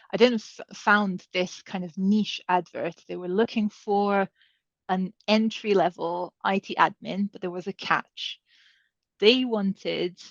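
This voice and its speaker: A woman's voice: mid-range voice, creaky, dry recording. Woman, dull dry recording. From Serbia. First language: Hungarian. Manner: conversational, many fillers and restarts. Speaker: panel speaker. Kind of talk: career advice talk.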